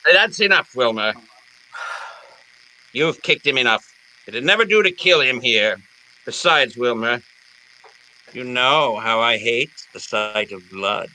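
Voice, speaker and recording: A man's voice: medium pitch, expressive, a little slow, long pauses, noisy background, lightly acted. A man playing a genteel, wily crime boss in a radio detective drama, speaking in a pompous, florid, courteous way. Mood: amused scolding. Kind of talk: old time radio detective drama acting.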